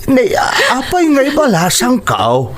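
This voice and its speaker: A man's voice: extremely high pitch, huge pitch swings, slow, a bit bright, slight background noise, exaggerated voice. A man with an Ilocano accent playing an emotional character in a radio family drama, speaking dramatically. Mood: anxious.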